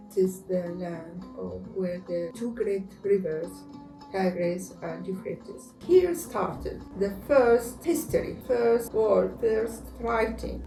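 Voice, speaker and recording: A woman from Iraq with an Iraqi accent, answering interview questions in halting, non-native English phrasing. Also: mid-range voice, warm tone. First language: Arabic. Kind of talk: interview answers about home country. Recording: roomy, distant mic, music underneath.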